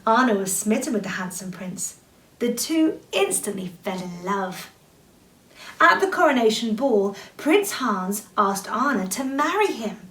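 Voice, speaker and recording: A woman's voice: fairly high pitch, big pitch swings, measured pace, a bit bright. A woman reading a children's bedtime story expressively, drawing out words for dramatic emphasis. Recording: roomy echo, distant from the mic.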